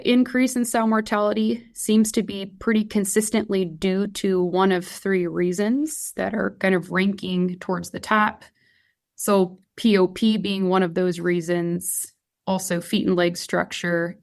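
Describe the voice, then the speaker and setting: A woman's voice: mid-range voice, creaky, a little mellow. A woman, explaining her field as an expert guest on a podcast. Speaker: guest expert, swine geneticist. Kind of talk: explanatory podcast interview talk.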